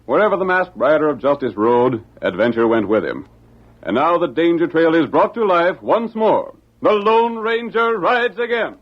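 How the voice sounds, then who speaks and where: Grand, animated old-time radio announcer, male. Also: a little high, moderate pace. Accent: American. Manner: grand, declamatory. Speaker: radio drama announcer and narrator. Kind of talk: dramatic 1940s radio narration. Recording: muffled old radio broadcast.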